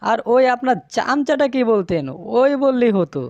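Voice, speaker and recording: A woman's voice: a little high, expressive, moderate pace, a little mellow, no echo, lo-fi sound. A woman voicing a wily, fawning male fox who sells cattle in a village cartoon. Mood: fawning, evasive. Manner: fawning, sly, evasive. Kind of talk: cartoon dialogue.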